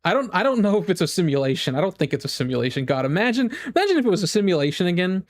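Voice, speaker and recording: A man giving casual, excitable, rambling reaction commentary on a video game. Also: high voice, very fast. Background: music from the game trailer.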